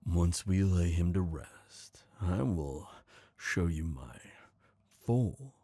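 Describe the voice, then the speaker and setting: A man voicing a smooth, scheming traitor prince, gloating and seductive, in an intimate fantasy ASMR roleplay. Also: low-pitched, lively intonation, dark tone, dry recording, studio quality, full character voice. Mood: seductive, admiring. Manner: slow, intimate, theatrical villain delivery.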